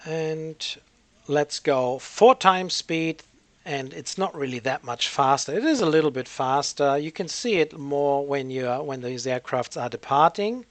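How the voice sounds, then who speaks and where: A man hosts a casual gameplay session in a fairly high-pitched voice. He thinks aloud in a rambling, hesitant way, feeling his way through each point. The sound is very dry, as if close to the microphone. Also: expressive, measured pace, no room sound. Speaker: gaming channel host.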